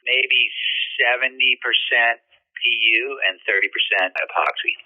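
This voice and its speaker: A man's voice: medium pitch, normal speed. An older man from the Bahamas who shapes surfboards, answering interview questions casually and loosely, with plenty of fillers and restarts. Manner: relaxed, rambling with fillers and restarts. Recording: tinny phone-like line.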